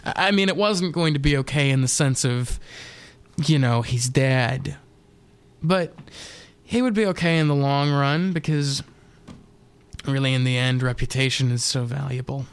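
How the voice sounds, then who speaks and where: A man narrating a scripted first-person dark comedy radio play in a deadpan, wry manner. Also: medium pitch, normal speed, pauses often, clear, resonant tone. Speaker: narrator and performer.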